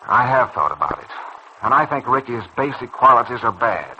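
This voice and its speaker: A man's voice: mid-range voice, animated, moderate pace, pushed and bright. A man acting in a vintage radio soap as a stubborn, judgmental young doctor, insistent and defensive. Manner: insistent, earnest, defensive delivery. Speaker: radio serial actor. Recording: dull, noisy old radio recording.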